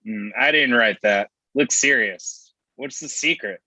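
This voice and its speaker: A man's voice: medium pitch, measured pace, bright, forceful tone, slightly rough, heavily acted. A man playing a needling, wisecracking friend in a comedy table read, heard through a dull online-call connection. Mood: probing.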